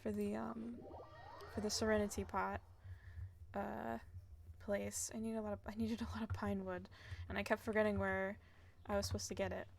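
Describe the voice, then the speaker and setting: A woman's voice: medium pitch, level tone, moderate pace, creaky, pauses often, a little hiss or hum. A woman streaming a video game, casually rambling and thinking aloud as she plays. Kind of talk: casual chatty gameplay commentary.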